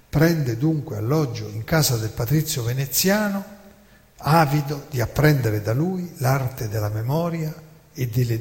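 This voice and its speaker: A man narrates a dramatic monologue with a soft, dark-toned voice. His delivery is measured and declamatory, like stage storytelling. Long hall reverb trails behind his words. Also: medium pitch. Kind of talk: theatrical scripted narration with dramatic recited quotations.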